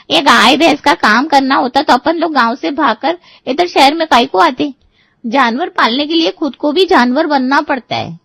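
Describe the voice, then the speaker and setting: A woman's voice: sits high, gravelly, a bit bright, dry recording. A woman voicing a blunt, streetwise village-born housemaid in a cartoon, with slangy, sassy talk.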